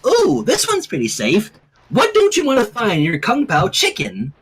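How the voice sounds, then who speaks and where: A reader voicing an excitable, chirpy cartoon teenage girl for a story. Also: mid-range voice, normal speed, voice pushed up. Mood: bubbly, cheerful. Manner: chirpy, exclaiming.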